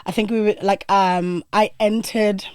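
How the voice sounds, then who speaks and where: A woman's voice: mid-range voice, fairly even intonation, unhurried, a little mellow, smooth, no echo, clean studio sound. A South African-born woman in her thirties, a podcast guest chatting animatedly and laughing often as she tells her story. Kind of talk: casual interview chat. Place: podcast studio with backdrop. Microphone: boom-mounted podcast mic.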